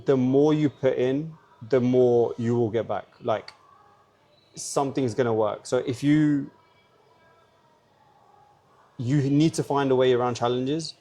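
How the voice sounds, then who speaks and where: A man's voice: mid-range voice, mellow. A man who owns a sweet shop, giving blunt, earnest business advice in a casual interview. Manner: earnest, gives blunt advice with many "like" fillers.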